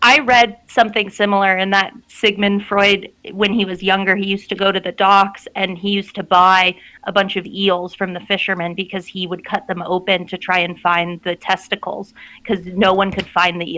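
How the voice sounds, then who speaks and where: A young woman with a bright, sharp voice at an average pitch. She is a comedy show guest, chatting casually and delivering absurd jokes in a playful deadpan. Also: normal speed, hardly any pauses, poor audio. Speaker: guest performer on a comedy livestream.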